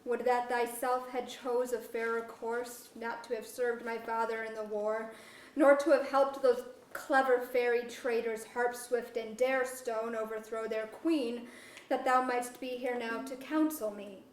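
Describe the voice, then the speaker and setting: A woman's voice: fairly high pitch, fairly even intonation, measured pace, lightly acted. A woman acting a proud, embattled sorcerer monarch in a staged play reading, speaking haughty, formal archaic verse. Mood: brooding. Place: museum auditorium. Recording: echoey auditorium, distant mic, faint noise.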